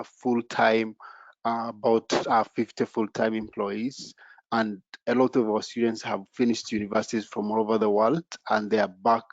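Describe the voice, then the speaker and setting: A man from Congo who grew up in Uganda, telling his story as a panelist on an online panel. He speaks haltingly, filling pauses with "uh" and "you know". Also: fairly low pitch, dry recording. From Democratic Republic of the Congo, raised in Uganda. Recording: muffled video call audio.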